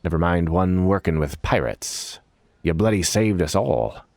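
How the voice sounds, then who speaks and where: A man voicing a weary, warm old sea captain with a hearty, plain-spoken manner. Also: sits low, animated, unhurried, gravelly, dry recording, full character voice. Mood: grateful warm.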